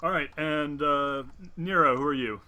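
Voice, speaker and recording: A man's voice: a little high, normal speed, sharp tone. A man acting as game master in a casual online tabletop session, prone to swearing. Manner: foul-mouthed.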